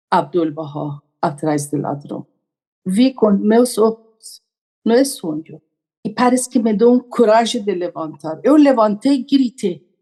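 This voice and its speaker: A woman's voice: fairly high pitch, a little mellow. A woman, a podcast guest who tells her story earnestly in simple, broken phrases. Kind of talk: conversational storytelling.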